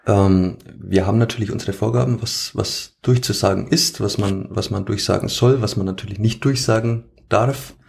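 A man's voice: on the low side, fairly even intonation, moderate pace, vocal fry, hardly any pauses, a little mellow. A man with a Franconian accent, a stadium announcer answering interview questions in a rambling way with many fillers. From Franconia, Germany.

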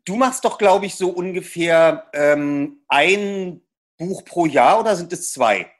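A man's voice: high voice, expressive, takes it slowly. A man from Germany hosting a podcast, interviewing an author in a friendly way with some fillers. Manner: friendly, asks questions with fillers. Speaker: podcast host.

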